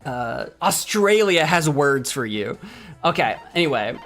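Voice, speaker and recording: A man's voice: fairly high pitch, big pitch swings, measured pace, a bit bright, faint music behind. An American man casually thinking aloud while playing a game on stream, rambling, correcting himself and bantering with chat in a mock-indignant way. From United States. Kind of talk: casual gameplay commentary.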